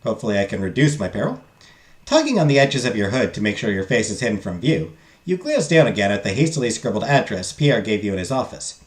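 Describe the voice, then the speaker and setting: A man streaming a visual novel, loudly reading the game text aloud and chatting with his viewers. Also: fairly low pitch, sing-song, fast. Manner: loud, talks loudly. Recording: roomy echo, faint game music.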